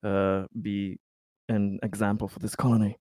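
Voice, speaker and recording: A man's voice: lowish voice, level tone, normal speed, a little mellow, no room sound, clean studio sound. A man improvising as a player in a tabletop roleplaying game, hesitant and searching for his words. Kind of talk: improvised in-character roleplay and narration.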